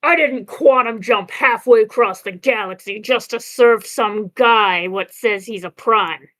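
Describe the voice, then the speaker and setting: A woman's voice: a little high, measured pace, fairly bright tone. A woman voicing a defiant, folksy robot drifter in an amateur comic dub.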